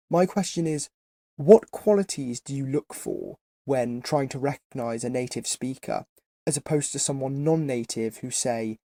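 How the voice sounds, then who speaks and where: Teen male listener, polite and scripted question, mellow voice, dry recording. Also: mid-range voice, vocal fry, no room sound. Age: teens.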